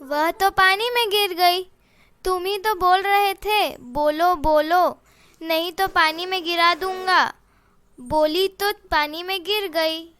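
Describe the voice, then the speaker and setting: A woman's voice: very high-pitched, steady tone, slow, a bit bright, no echo, heavily acted. A woman voicing an eager, agreeable female cat in a cartoon story. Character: meek talking cat, female.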